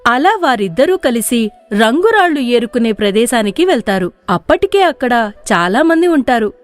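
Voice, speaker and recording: A woman's voice: a little high, sing-song, normal speed. A woman giving scripted storybook narration for a moral tale. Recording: music playing behind the voice.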